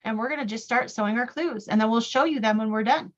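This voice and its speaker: A woman's voice: on the high side, fast talker, muffled recording. A woman hosting a casual quilting livestream, upbeat and greeting viewers one after another by name.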